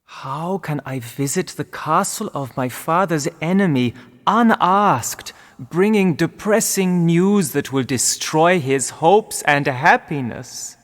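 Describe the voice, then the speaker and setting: A man playing an earnest young nobleman in a storybook ghost tale, speaking solemnly and deliberately. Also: fairly high pitch, expressive, big-room sound. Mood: troubled. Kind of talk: scripted audiobook character lines.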